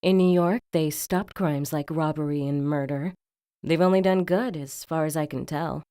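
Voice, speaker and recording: Actress as low-pitched, quick-witted woman detective. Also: mellow, clean tone, no room sound, clean studio sound, natural voice. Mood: matter-of-fact. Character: easygoing, quick-witted, compassionate female police detective. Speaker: actor in audio crime drama. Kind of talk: audio drama acting.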